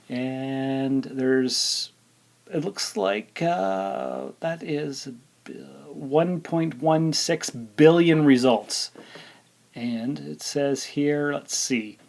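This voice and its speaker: A man's voice: on the high side, darkish tone. Chatty male podcast host. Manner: rambling and conversational, with fillers. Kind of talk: casual solo podcast chat.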